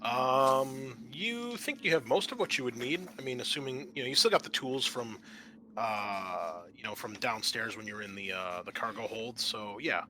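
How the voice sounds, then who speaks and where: A man running a tabletop roleplaying game as game master, narrating informally and haltingly as he improvises the scene. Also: medium pitch, animated, pushed and bright.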